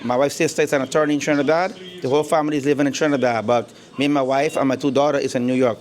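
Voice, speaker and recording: A man's voice: mid-range voice, steady tone, racing. A middle-aged man from Guyana with a Guyanese accent, chatting easily about his barbershop in an interview and leaning on "you know". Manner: easygoing, repeats words, fillers like "you know". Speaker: barbershop owner. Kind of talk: casual interview. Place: indoor barbershop.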